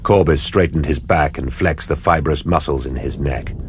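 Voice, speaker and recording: A man narrating an audio drama in a measured, grave storytelling style. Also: a bass voice, noisy background. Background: music.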